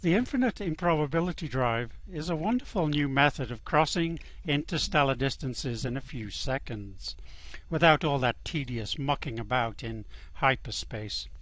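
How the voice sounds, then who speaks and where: A man's voice: mid-range voice, lively intonation, moderate pace, a bit bright, no room sound, lightly acted. A man playing the wry narrator of a space guidebook, reading scripted explanations in a radio comedy. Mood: wry, explanatory.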